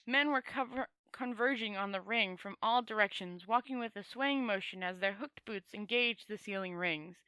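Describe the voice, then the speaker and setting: A woman's voice: medium pitch, moderate pace, hardly any pauses, pushed and bright, no room sound, poor audio. A woman storyteller reading a book aloud in an informal amateur style, often stumbling over words and correcting herself. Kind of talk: amateur read-aloud audiobook narration.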